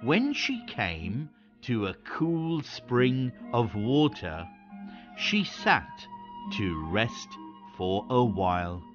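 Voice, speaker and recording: A storyteller narrating a children's fairy tale from a script in short, deliberate phrases. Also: medium pitch, big pitch swings, lo-fi sound. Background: music.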